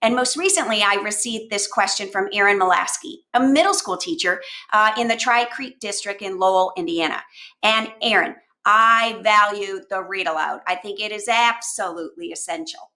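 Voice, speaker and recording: A woman giving conversational instructional advice to teachers in an emphatic, animated manner, often posing rhetorical questions. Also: mid-range voice, moderate pace. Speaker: education consultant answering teachers' questions. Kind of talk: conversational instructional talk to camera.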